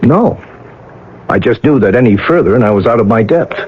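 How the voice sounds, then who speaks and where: An actor playing a brash, wisecracking diver in a radio drama, practical and full of breezy slang. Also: male voice, mid-range voice, lively intonation, fairly quick, natural voice. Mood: skeptical. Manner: slangy, colloquial. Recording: dull, noisy vintage radio recording.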